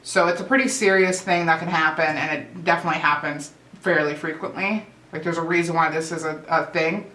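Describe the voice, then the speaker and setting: A young woman talking casually to camera as a vlogger, chatty and relaxed with fillers and restarts. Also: deep voice, brisk. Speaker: vlogger and nursing aide. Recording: echoey room.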